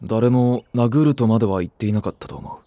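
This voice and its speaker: An actor playing a quiet, serious young male nursery teacher with a subdued, terse manner in an anime drama. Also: lowish voice, brisk, lightly acted.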